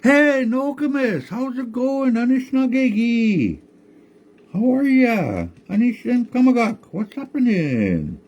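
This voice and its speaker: A man voicing a cheerful, clueless male tree spirit in a high, raised voice. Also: very animated, takes it slowly, darkish tone, dull audio, full character voice. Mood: breezy. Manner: high, breezy, then pleading.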